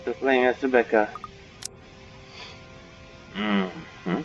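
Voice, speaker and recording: A man's voice: medium pitch, very slow, highs cut off, faint music behind. A man commenting casually on a game as he plays, musing and thinking aloud. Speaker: gaming channel host.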